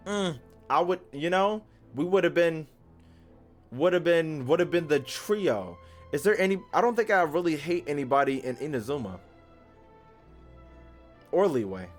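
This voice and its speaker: A man's voice: a little high, expressive, normal speed, pauses often, faint music behind. A man with an American accent giving casual, slangy, profane and teasing livestream commentary as he plays a game. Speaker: game streamer.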